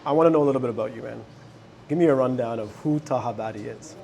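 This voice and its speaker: A man's voice: mid-range voice, quick pace, vocal fry, dark tone, slight background noise. A man hosting an interview, asking curious, conversational questions. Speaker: interview host. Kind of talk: casual interview.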